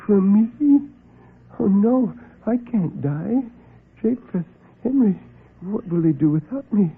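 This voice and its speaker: A man's voice: high-pitched, lively intonation, takes it slowly, vocal fry, husky, voice pushed up, heavily acted. A meek, kindly little man in an old radio play. His voice is raised and swoops about as he stammers and fusses. The recording is muffled vintage radio. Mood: frail, distressed. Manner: stammering, fussy, breathless exclamations. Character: meek, flustered, kindly man devoted to his unseen friends. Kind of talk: classic radio storytelling drama.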